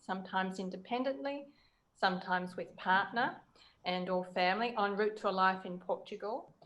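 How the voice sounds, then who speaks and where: A woman's voice: mid-range voice, fairly bright tone. A woman interviewer introducing and chatting with her guest in a conversational hosting style.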